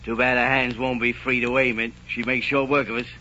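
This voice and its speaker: A man's voice: medium pitch, flat delivery, fairly quick, bright, forceful tone, slight background noise, close to an everyday voice. A man playing a brusque, sneering soldier waiting in ambush, in 1940s radio drama style. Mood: mocking. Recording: dull old radio recording, faint music.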